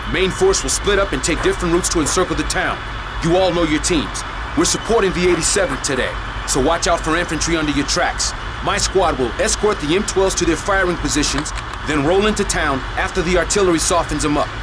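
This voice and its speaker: An actor playing a brisk, authoritative American army squad leader briefing his tank crews in a war game. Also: on the high side, close to an everyday voice. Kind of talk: military briefing, game acting. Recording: loud vehicle and outdoor noise.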